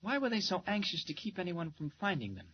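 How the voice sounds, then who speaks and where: An actor playing an earnest young reporter in a 1940s radio drama, asking brisk, concerned questions. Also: male voice, sits high, sing-song, husky, full character voice. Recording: dull noisy old radio transcription.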